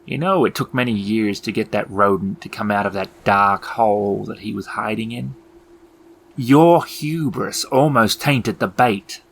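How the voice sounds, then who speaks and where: A man's voice: lowish voice, gravelly. A man voicing a menacing, mocking elf clown villain in a theatrical, taunting way for a tabletop game. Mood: eerie, unhinged. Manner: theatrical, slow taunting delivery with sudden outbursts.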